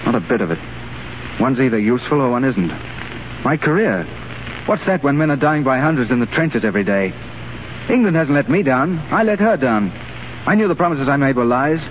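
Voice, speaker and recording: A gallant British agent played by a man with a clipped, upper-class delivery at a middling pitch. He comes through a tinny 1930s broadcast with faint hiss. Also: lively intonation, brisk, natural voice. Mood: earnest.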